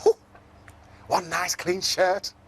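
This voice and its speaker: A man voicing an excitable young male zebra in a children's storybook, exclaiming in wails and squeals. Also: high voice, takes it slowly, gravelly, slight background noise, voice pushed up, heavily acted. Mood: counting busily.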